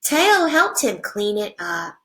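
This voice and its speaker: A woman teacher reading a picture book aloud to young children, with simple deliberate phrasing and hushed asides. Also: medium pitch, big pitch swings. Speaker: first grade teacher.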